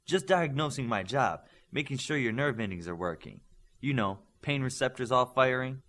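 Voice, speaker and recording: A man's voice: medium pitch, animated, moderate pace, fairly bright tone, lightly acted. A man voicing a blunt, short-tempered young female healer with superpowers in an audiobook, in a matter-of-fact, prickly way. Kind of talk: audiobook character voice.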